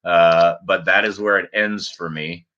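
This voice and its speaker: An American man in his forties from the upper Midwest, chatting casually and haltingly as host of a livestream. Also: deep voice, fairly even intonation, sharp tone. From Minnesota and Wisconsin, US. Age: 40s. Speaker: fishing channel host. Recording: dull, lo-fi stream audio.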